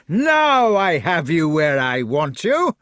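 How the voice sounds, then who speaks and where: A man voicing a fat, bullying villain of a knight in a storybook, shouting with scornful bluster. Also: on the high side, huge pitch swings, slow pace, dry recording, clean studio sound, pitched above the performer's own voice, heavily acted. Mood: gloating.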